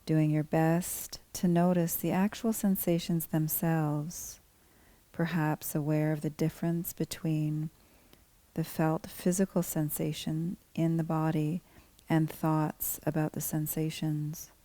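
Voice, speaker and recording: A woman guiding a body scan meditation as a teacher, speaking calmly in measured, gently spaced phrases. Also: deep voice, dry recording. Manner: calm, unhurried, gently measured phrasing with pauses. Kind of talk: guided meditation, scripted instruction.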